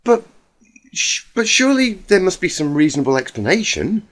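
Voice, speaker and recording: Genteel mare, voiced by a man, high-pitched and swooping, faltering, shocked, dramatic storybook. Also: big pitch swings, normal speed, fairly bright tone. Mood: shocked, disbelieving. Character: genteel, shocked female unicorn pony.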